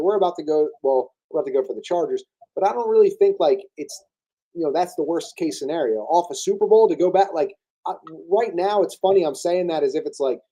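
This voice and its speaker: A man's voice: fairly high pitch, dark tone, rough recording. A young man co-hosting a sports podcast, talking casually and loosely, often stalling on fillers and restarting his sentences. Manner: hesitant, many restarts and fillers. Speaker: podcast co-host.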